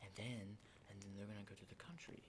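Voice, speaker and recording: A man playing a jittery, hardened zombie apocalypse survivor in a whispered ASMR roleplay, halting and repeating himself insistently in a breathy voice. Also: fairly low pitch, steady tone, lightly acted. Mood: wary, explaining. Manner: halting, repetitive, insistent, often checking with "okay?". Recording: clearly noisy close whisper recording.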